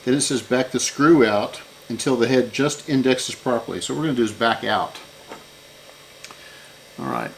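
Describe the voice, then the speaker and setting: A man's voice: mid-range voice, animated, moderate pace, creaky, pauses often, slight background noise, rough recording. A man giving a casual hands-on repair walkthrough, unscripted and thinking aloud as he works. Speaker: repair channel host.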